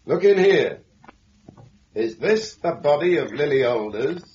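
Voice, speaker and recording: An actor playing a composed male investigator in an old radio drama, deliberate and probing. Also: on the high side, very animated, a little mellow. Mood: stern, probing. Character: calm, stern male investigator questioning a suspect. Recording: noisy, dull vintage radio recording with clicks.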